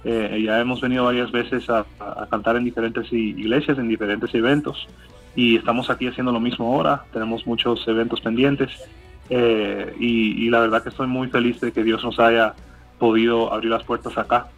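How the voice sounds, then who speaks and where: A man's voice: medium pitch, fairly even intonation, moderate pace, highs cut off, faint music behind. Hesitant man with Cuban-Colombian accent. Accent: Cuban Spanish softened by Colombian. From Miami, USA. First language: English. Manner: hesitant, many fillers and restarts. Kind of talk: interview answers.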